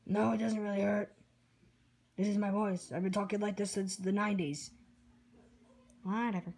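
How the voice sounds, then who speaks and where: A woman's voice: medium pitch, flat delivery, moderate pace, darkish tone, slightly rough. Woman doing a blunt, vain anime warrior guy. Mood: defensive, offhand. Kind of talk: homemade character skit.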